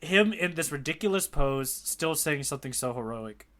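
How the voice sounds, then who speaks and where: Higher-voiced man in enthusiastic podcast chat. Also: lively intonation, normal speed, fairly bright tone. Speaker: podcast co-host. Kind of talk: casual chat about manga.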